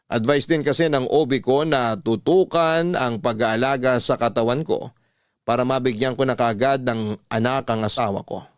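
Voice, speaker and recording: A man narrating a listener's letter in a radio drama, reading in a steady, measured way. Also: mid-range voice, no echo, studio quality.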